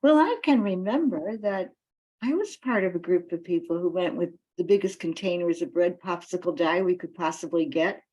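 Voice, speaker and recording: Low-voiced woman, deliberate and halting. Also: a little mellow, low-quality recording. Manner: deliberate, halting phrases with emphatic stresses. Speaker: activist speaker. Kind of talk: informal campaign talk to a meeting.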